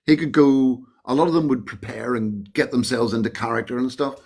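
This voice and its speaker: A man's voice: medium pitch, a little fast, gravelly, a little mellow, husky. A man from the United Kingdom telling anecdotes as an interview guest in a casual, colloquial, blokey, admiring way. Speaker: interview guest, film extra.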